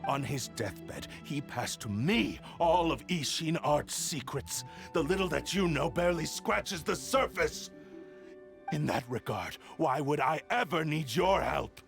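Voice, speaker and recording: An actor playing an arrogant, desperate spirit of a cursed blade in a video game, speaking with theatrical, grandiose menace. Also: male voice, a little high, expressive, unhurried, creaky, faint music behind. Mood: indignant. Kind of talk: dramatic video game voice acting.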